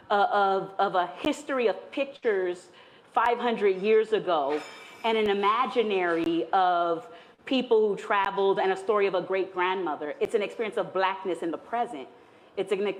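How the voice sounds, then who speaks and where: A woman's voice: medium pitch, low-quality recording. A woman speaking on an academic panel, building her points in careful spoken lists. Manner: thoughtful, builds points in lists, some fillers.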